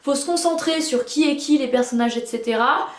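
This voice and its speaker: A woman's voice: high voice, fairly quick, roomy sound. A French-speaking woman reviewing books in casual, chatty talk, full of fillers and restarts. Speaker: book reviewer. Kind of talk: casual book review chat.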